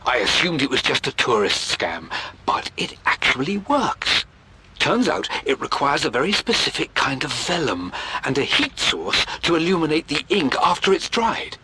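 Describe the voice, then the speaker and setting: A man's voice: mid-range voice, sing-song, moderate pace, noisy background. An actor playing a middle-aged British scholar, earnest and emotive, reading his journal as a game voice-over. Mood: pleased, fond. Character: obsessive scholarly British father, earnest and brooding.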